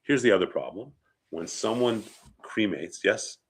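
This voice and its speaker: Low voiced guy, rabbi giving an informal talk, bit sniffly from a head cold, keeps checking in w listeners. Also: lively intonation, measured pace, slight background noise. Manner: conversational, checks in often with short questions. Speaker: rabbi teaching about funeral customs. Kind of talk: informal lecture with questions to listeners.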